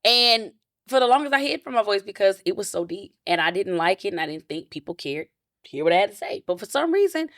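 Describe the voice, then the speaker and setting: A woman, talking openly as a guest in a casual interview, animated and self-reflective with many restarts. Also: fast talker, no echo, clean studio sound. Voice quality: deep voice.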